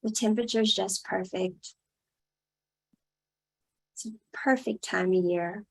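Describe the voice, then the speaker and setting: A woman leading a guided meditation in a soothing style, speaking in short, unhurried phrases with long pauses. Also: mid-range voice, fairly even intonation, vocal fry, breathy edge, poor audio.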